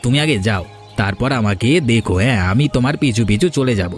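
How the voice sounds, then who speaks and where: Man voicing a fast-talking conniving village husband. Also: mid-range voice, faint music behind, natural voice. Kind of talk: Bengali cartoon voice acting.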